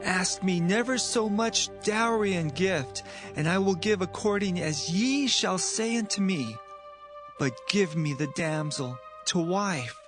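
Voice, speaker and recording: An actor playing an eager young prince in a dramatised audio Bible, speaking earnestly and persuasively. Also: male voice, high voice, measured pace, music in the background. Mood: pleading.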